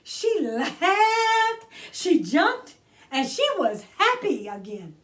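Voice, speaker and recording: A woman hosting a children's storytime, reading aloud expressively and deliberately, drawing out key words for emphasis. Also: very high-pitched, big pitch swings, fairly bright tone, recorded from a distance. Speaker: children's storytime host and reader.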